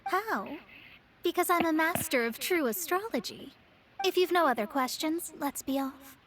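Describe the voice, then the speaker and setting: A woman's voice: high voice, expressive, moderate pace, fairly bright tone. A woman voicing a proud, haughty young female astrologer in a video game, formal and self-assured. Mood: aloof. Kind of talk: game voice acting.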